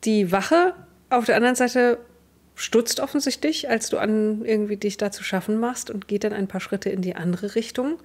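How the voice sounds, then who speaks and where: A woman's voice: medium pitch, moderate pace, darkish tone. A young woman, improvising narration as game master of a tabletop role-playing game. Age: young adult. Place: indoors at home, on a video call.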